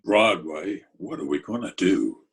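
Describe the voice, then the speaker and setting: A man playing a laconic, scheming Broadway tough guy in an old-time radio drama, with a husky voice and terse, deliberate delivery. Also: low-pitched, lively intonation, fairly bright tone, exaggerated voice. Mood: musing, scheming. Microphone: video call recording.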